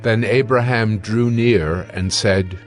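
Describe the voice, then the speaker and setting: A man narrating scripture in a measured, solemn storytelling manner. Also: on the low side, faint music behind. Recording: musical score behind the voice.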